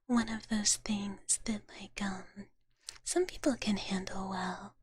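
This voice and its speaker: A woman livestreaming ASMR in a breathy whisper, chatting gently and playfully with her viewers, close to the mic. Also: medium pitch, fairly even intonation, takes it slowly. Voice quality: breathy, mostly unvoiced whisper. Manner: gentle, playful, speaks of herself in third person. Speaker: livestream ASMR host in a virtual dragon big-sister persona.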